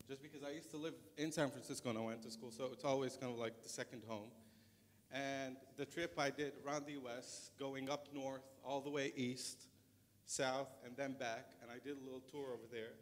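A man in his thirties with a Lebanese accent gives an informal presentation as a conference speaker in a large lecture auditorium. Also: mid-range voice. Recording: reverberant lecture hall, background noise.

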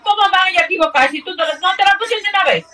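A woman's voice: extremely high pitch, quick pace, pushed and bright. A middle-aged woman talking casually on a phone call.

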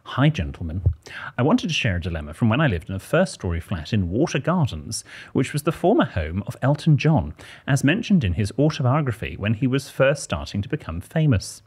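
A man in his thirties from Bristol, co-hosting a podcast in a small studio, chatting and reading listener letters aloud with crisp diction and dry wit. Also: mid-range voice, sing-song, a little fast, darkish tone. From Bristol, England. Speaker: podcast co-host. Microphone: close studio mic on boom arm, headphones.

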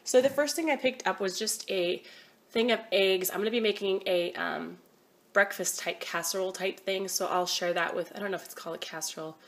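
A woman in her thirties, hoarse from a cold, chatting casually through a grocery haul at home with many ums. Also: mid-range voice, brisk, a bit bright. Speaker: vlogger. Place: at home, by a table.

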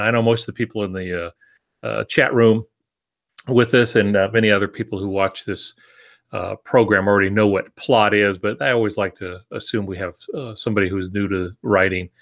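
A man's voice: fairly low pitch, fairly bright tone. A man in his sixties explaining things informally, with easygoing asides and disclaimers, on a video call from a home office. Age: 60s. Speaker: co-host and writing teacher.

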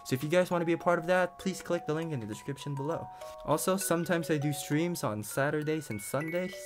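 A man's voice: a little high, fast. A man giving a casual outro to his viewers as a video creator. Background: music.

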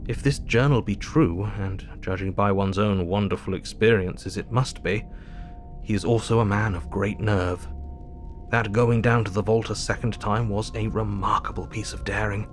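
An actor playing a thoughtful Victorian doctor reading his diary aloud in a formal, measured, reflective way. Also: on the low side, fairly even intonation, lightly acted. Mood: impressed, musing. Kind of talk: dramatic audio diary narration. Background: music.

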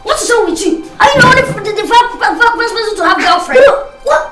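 Very high-pitched, childlike Nigerian young man. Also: expressive, quick pace, loud music behind the voice. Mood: angry. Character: cocky, hot-headed young man with childlike voice. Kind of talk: Nigerian comedy film acting.

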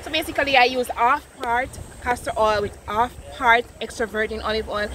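A young woman with a Jamaican accent talks to the camera in a friendly, chatty way, walking through her routine step by step. Her voice sits a little high, and there is a faint outdoor hum behind her. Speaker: natural hair vlogger. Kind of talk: casual chat to camera. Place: outdoors on a rocky beach. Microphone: camera in front at close range.

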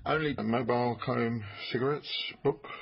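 An actor playing a brisk, authoritative detective in charge of a murder inquiry in a naturalistic radio drama. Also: male voice, mid-range voice, fairly even intonation, vocal fry, husky, lo-fi sound. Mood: brisk, commanding. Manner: businesslike, authoritative. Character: brisk male police detective boss running a murder inquiry.

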